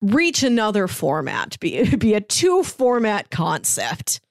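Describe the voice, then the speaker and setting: A woman's voice: medium pitch, wildly swooping pitch, slow, creaky, dry recording, clean studio sound. A woman co-hosting a podcast in casual, chatty style, given to chuckling as she talks. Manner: chuckles often while talking. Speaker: podcast co-host. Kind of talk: casual chat.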